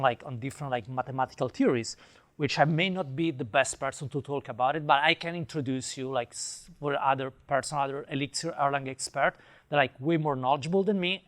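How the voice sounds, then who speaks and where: A man's voice: mid-range voice, a bit bright. A man from Italy with an Italian accent, giving an enthusiastic conference talk and taking questions, with many fillers and restarts. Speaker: conference speaker. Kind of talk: tech talk with audience Q&A.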